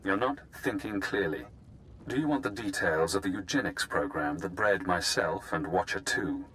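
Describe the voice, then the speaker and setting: Deep, calculating male schemer. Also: animated, fairly bright tone, slight background noise. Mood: persuasive. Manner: measured, formal, coolly bargaining. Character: calculating male escaped genetically engineered intelligence prisoner, coolly persuasive. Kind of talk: video game dramatic acting.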